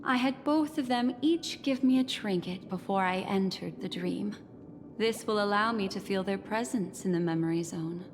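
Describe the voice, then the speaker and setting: Game role, actress as enigmatic female memory keeper: poised, teasing, reassuring, measured, mid-pitched, in a reverberant hall. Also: background noise, natural voice. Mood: soothing. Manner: measured, soothing, coy, formal phrasing. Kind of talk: video game voice acting.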